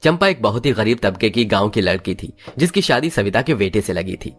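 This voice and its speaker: A man's voice: on the low side, expressive, a little fast, fairly bright tone. A man reading scripted narration for a moral story as its narrator.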